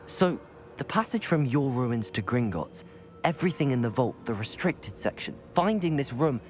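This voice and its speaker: A young actor playing a polite, self-assured wizard student in a video game. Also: male voice, mid-range voice, sing-song, normal speed, lightly acted. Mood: composed, curious. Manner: polite, composed. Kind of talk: video game cutscene acting. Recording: music behind.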